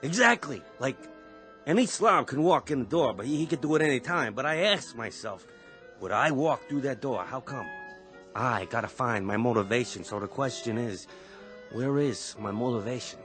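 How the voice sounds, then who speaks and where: An actor playing a dim, self-serious young heartthrob method actor in a radio comedy, earnest, hesitant and slangy. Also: male voice, mid-range voice, expressive, normal speed, a bit bright, faint music behind, natural voice. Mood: earnestly puzzled. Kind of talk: comedic radio drama acting.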